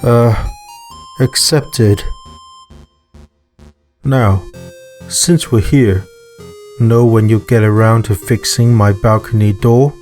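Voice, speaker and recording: A man acts an anxious adult neighbour with an everyday male voice. The lines come slowly and haltingly, with music behind them. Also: fairly low pitch, steady tone, darkish tone. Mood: awkward.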